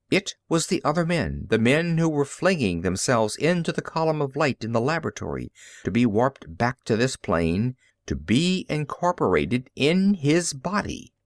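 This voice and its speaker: A man's voice: medium pitch, dry recording, studio quality. A man reading classic fiction aloud as an audiobook narrator, in a theatrical, emphatic, dramatic style.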